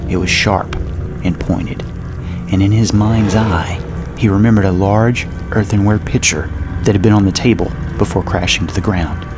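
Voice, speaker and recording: A man narrating a scripted horror story in a measured, dramatic storytelling manner. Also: lowish voice, fairly even intonation. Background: music.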